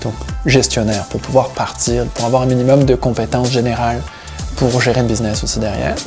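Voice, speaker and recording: A man from the Paris region, telling about his own work and life in a relaxed interview account. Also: mid-range voice, brisk, over music. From Paris region, France, with Breton roots. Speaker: seaweed harvesting diver and business owner, interviewee.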